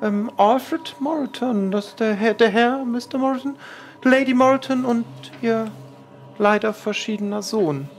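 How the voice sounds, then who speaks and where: A man's voice: very high-pitched, a little slow, soft background music. A man voicing a timid, discreet young female housemaid in a tabletop game, hesitant and deferential. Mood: nervous, helpful. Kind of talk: improvised tabletop role-play acting.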